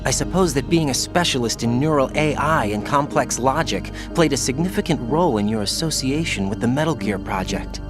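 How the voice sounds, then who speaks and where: An actor voicing a serious, sceptical young male soldier in a video game, questioning in a terse, steady way. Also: mid-range voice, a little fast, hardly any pauses, over music, natural voice. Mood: probing, disbelieving. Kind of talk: scripted game cutscene dialogue.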